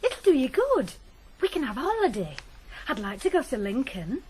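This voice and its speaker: An actress playing a pushy, easily hurt woman in a radio drama, with a husky voice. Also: high-pitched, huge pitch swings, normal speed, darkish tone, a little hiss or hum. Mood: coaxing.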